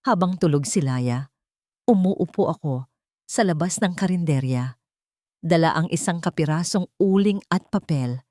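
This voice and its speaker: A woman with a Filipino accent narrating a dramatic first-person story in measured, solemn phrases. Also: low-pitched, a little mellow, no room sound, clean studio sound. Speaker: audiobook narrator.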